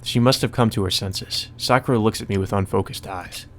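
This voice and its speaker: A man's voice: lowish voice, a little fast, a little hiss or hum. A man hosting a let's play, reading a visual novel aloud and adding casual commentary. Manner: casual, profane asides. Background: music.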